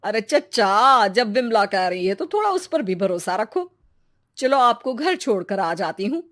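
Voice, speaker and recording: A curious, helpful village woman voiced by a woman in a cartoon folk story. She chats in homely, colloquial words, in a high voice that rises and falls a lot. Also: very animated, measured pace, a bit bright. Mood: encouraging. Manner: inquisitive, colloquial. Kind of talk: cartoon moral-story acting.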